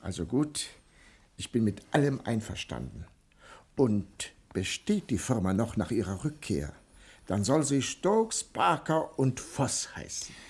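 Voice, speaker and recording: A man acting an anxious, hesitant head of a struggling firm in a 1960 German radio drama, with a husky voice and questioning manner. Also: mid-range voice, very animated, unhurried, a little mellow, full character voice. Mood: resigned.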